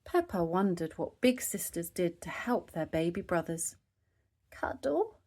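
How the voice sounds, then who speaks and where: A woman reading a children's story aloud, with expressive narration phrased for young listeners. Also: lowish voice, moderate pace. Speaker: storybook reader.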